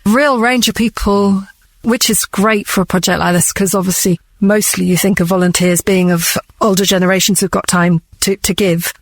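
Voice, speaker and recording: A woman's voice: mid-range voice, fairly even intonation, moderate pace, no echo. A woman speaking conversationally and earnestly as a director being interviewed on the radio.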